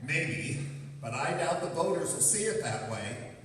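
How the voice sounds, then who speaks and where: A man plays a folksy town council hopeful in a radio play, blustery and easily dejected. The voice sits a little high and moves slowly, heard in a very echoey hall. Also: animated, fairly bright tone. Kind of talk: stage radio-play acting. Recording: echoey hall, distant mic, faint noise.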